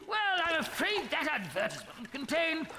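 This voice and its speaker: An actor playing a pompous, bumbling aristocratic expedition captain who blusters in clipped upper-class tones. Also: male voice, very high-pitched, huge pitch swings, moderate pace, noisy background, exaggerated voice. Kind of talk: live radio comedy.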